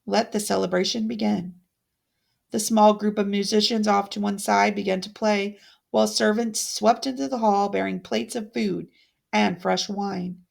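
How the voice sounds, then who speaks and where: A woman reading a fairytale aloud as a storyteller, with deliberate, dramatic expression. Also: mid-range voice, fairly even intonation, vocal fry.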